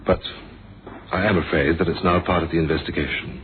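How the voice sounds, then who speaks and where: A man acting a courteous, probing police inspector in a radio mystery drama, formal and measured, firm but humane. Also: sits low, fairly even intonation, lightly acted. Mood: gentle, regretful. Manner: formal, measured, polite questioning. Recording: muffled, noisy old radio drama recording.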